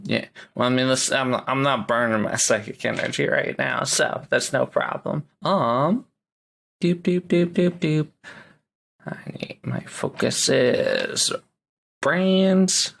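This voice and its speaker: Slow, creaky-voiced male game player. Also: medium pitch, a bit bright. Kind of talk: casual game-table chat.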